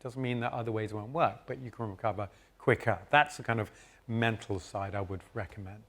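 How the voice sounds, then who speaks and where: A man hosting and moderating a live conference session, giving calm, explanatory advice from the stage. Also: fairly low pitch, a little fast. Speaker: host and moderator.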